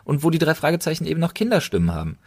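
A man's voice: on the high side, big pitch swings, very fast. A man speaking as a podcast co-host in casual, colloquial conversation, telling things emphatically and with enthusiasm.

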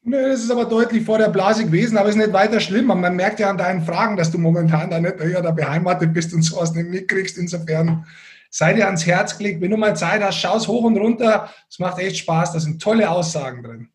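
High-voiced man, teasing podcast host. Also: brisk. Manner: teasing, sarcastic. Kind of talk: casual bantering podcast chat.

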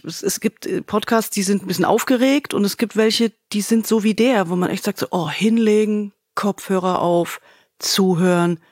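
A woman's voice: mid-range voice, slightly rough, no echo, studio quality. A woman co-hosting a podcast in a casual, chatty, effusive way, repeating words for emphasis, with the ease of a radio veteran.

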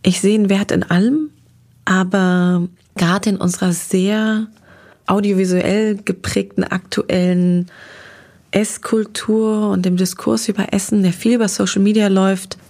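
A woman of middle pitch with a gravelly, vocal-fry texture, chatting as an interview guest. She sounds enthusiastic and warm about her subject and talks in long, winding sentences. Her tone is relaxed and conversational. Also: measured pace. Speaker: interview guest, restaurant critic.

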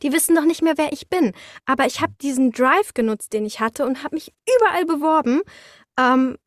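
A young woman chatting casually as a podcast guest, telling things in an expressive, anecdotal way. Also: high-pitched, a little fast, dry recording, studio quality. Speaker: interview guest, voice actor.

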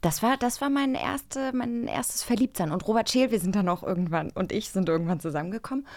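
A woman's voice: medium pitch, expressive, fairly quick, a little mellow, no echo. A woman hosting a podcast in chatty banter, with an effusive, exclamatory way of talking. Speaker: podcast host.

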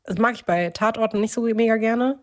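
A woman's voice: on the high side, a little fast, darkish tone. A woman co-hosting a podcast, chatting casually and informally with enthusiasm. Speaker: podcast co-host.

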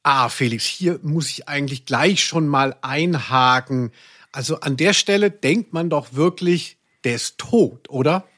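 Man, podcast host, fairly high voice, wordy, mock-serious, humorous chat. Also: a little slow, a bit bright.

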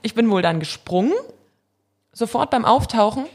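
A woman's voice: a little high, animated, moderate pace, slight background noise. A woman speaking candidly and informally as an interview guest, giving casual answers and anecdotes, having grown up with German and Russian. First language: mixed German and Russian at home. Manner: candid, informal, laughs readily. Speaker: interview guest, professional handball player.

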